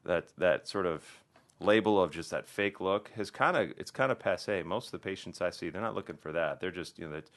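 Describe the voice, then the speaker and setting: A man from the American Midwest, a plastic surgeon speaking as a podcast guest in relaxed casual conversation, full of restarts and fillers. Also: deep voice, fairly quick. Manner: relaxed, conversational, many restarts and "you know".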